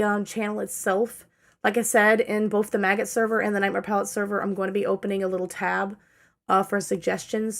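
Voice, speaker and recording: A young woman rambling through a casual channel update, full of fillers and self-corrections, her throat dry and strained and her energy low from fatigue. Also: creaky. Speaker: channel owner giving an update.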